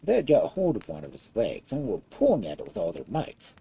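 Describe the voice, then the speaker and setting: Very dark, husky fairy-tale storyteller. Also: mid-range voice, wildly swooping pitch, brisk. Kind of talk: expressive fairy-tale read-aloud.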